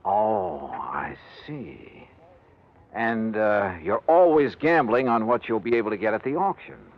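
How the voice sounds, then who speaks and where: An actor playing a skeptical, brisk insurance investigator in a hardboiled 1950s radio drama, with a clipped, probing, wry delivery. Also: male voice, fairly low pitch, animated. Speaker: insurance investigator lead and narrator. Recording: dull vintage radio recording, noisy.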